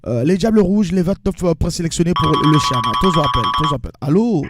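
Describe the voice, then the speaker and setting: A man hosting a radio call-in show about football, calmly taking calls and reading out the station's details. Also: fairly high pitch, a little fast, over loud music. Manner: brief, calm acknowledgements, reads out details. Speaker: radio sports show host.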